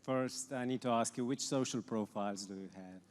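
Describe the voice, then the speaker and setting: A man's voice: medium pitch, a little fast. A man moderating a conference stage talk, asking loose questions with a joking edge. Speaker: conference moderator, company director. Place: conference hall. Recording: echoey conference hall.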